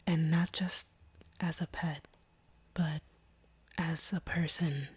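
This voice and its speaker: A woman playing a guarded, wounded vampire in an intimate audio roleplay, speaking softly and haltingly in a breathy near-whisper. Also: low voice, fairly even intonation, heavily acted. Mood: earnest, tender. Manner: slow, hesitant, halting delivery. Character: guarded, wounded female vampire confessing feelings.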